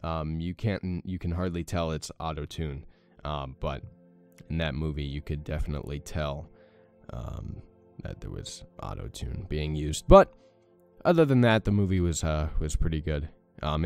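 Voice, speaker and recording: A young man with a deep morning voice hosting a let's play, chatting casually with lots of fillers. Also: expressive, normal speed, a little mellow, clear, resonant tone, soft background music. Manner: rambling, many fillers, self-deprecating. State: morning voice with a crack. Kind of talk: casual gameplay commentary.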